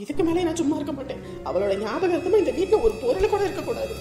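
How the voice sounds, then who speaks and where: A woman's voice: high-pitched, sing-song, fast, music in the background. A woman playing an aggrieved, bitter village mother in a family drama, with a plaintive, scolding manner. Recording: roomy, echoing voice recording.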